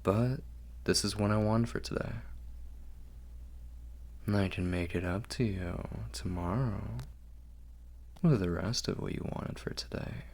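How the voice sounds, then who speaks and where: A man playing a refined, doting, fastidious vampire lord in an intimate ASMR roleplay, speaking formally and coaxingly in a gravelly, often whispery voice. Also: fairly low pitch. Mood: coaxing, indulgent. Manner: formal, measured, softly coaxing.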